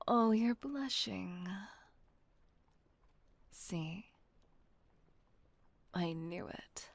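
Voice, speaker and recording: A woman voicing a kind, doting female vampire mistress in an audio roleplay, speaking in a soft, coaxing whisper close to the mic. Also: on the low side, lively intonation, extremely slow, creaky, long pauses, no echo, full character voice.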